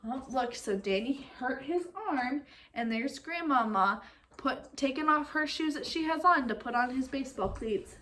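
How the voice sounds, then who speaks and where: A woman reading a picture book aloud like a teacher, expressive and speaking directly to young listeners. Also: high-pitched, moderate pace, slight background noise. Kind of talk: read-aloud storytelling with comprehension questions.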